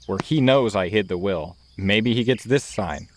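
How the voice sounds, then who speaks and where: A man's voice: fairly low pitch, normal speed. A man playing a tabletop role-playing game, casually describing what his character does and says. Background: music.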